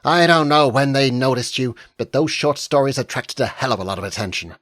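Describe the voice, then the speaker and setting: A man's voice: mid-range voice, brisk, no room sound, natural voice. A male narrator voicing a strident, persuasive older woman literary agent. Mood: patient. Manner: smiling, persuasive, hushed when moved. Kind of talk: audiobook character voice.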